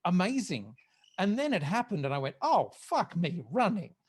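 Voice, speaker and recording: A podcast panellist with a mid-range voice talks casually among a group. The delivery is halting and hesitant, feeling its way through each thought. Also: mid-range voice, very animated, a little mellow, slight background noise.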